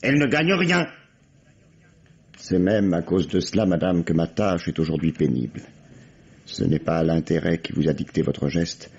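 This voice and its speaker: Deep-voiced, shrewd police commissioner. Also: male voice, vocal fry, a little mellow, heavily acted. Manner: deliberate, formal, ironic, theatrical pauses. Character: shrewd, world-weary male police commissioner laying out a case. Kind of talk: vintage French radio mystery acting. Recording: dull, band-limited old radio recording.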